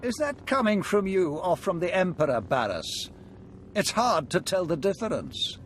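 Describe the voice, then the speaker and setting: An actor playing a sly, urbane, foppish schemer in a video game, with a suave, mocking and grandly rhetorical manner. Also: male voice, high voice, sing-song, moderate pace, slight background noise.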